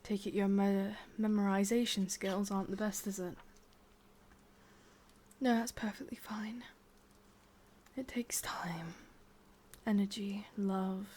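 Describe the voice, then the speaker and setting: A woman with an English accent voicing a sadistic, lovesick witch in a whispered, intimate ASMR roleplay, murmuring slowly and haltingly. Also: medium pitch, fairly even intonation, darkish tone, a little hiss or hum, lightly acted. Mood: coaxing, teasing. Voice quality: breathy, whispery. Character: sadistic, lovesick young witch, wistful and possessive.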